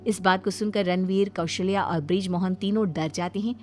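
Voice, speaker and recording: A woman's voice: mid-range voice, fairly even intonation, normal speed, darkish tone. Woman narrating over faint music. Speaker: story narrator. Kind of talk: scripted cartoon story narration.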